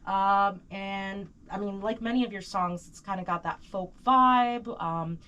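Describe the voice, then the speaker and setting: A woman's voice: mid-range voice, steady tone, measured pace, bright, forceful tone, lo-fi sound. Woman hosting a casual interview. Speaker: radio show host.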